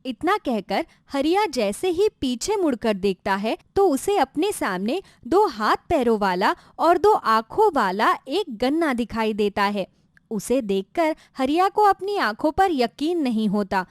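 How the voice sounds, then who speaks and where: A woman's voice: sits high, sing-song, unhurried, dry recording, clean studio sound. A woman narrating a scripted children's moral story as a storyteller.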